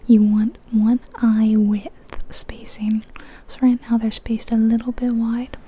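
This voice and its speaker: A woman whispering softly and breathily in an unhurried, musing ASMR commentary on a video game. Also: a little high, level tone, slight background noise. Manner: hushed, unhurried, musing aloud.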